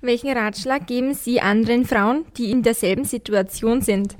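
Young woman hosting, fairly high voice. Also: normal speed. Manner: reads questions politely. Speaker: student radio host. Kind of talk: scripted school radio interview.

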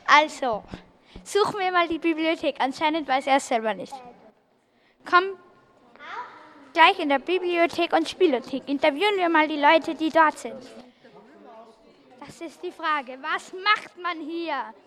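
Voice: high voice, unhurried, pauses often, a bit bright. A child reporter doing a live on-location interview, putting questions to people.

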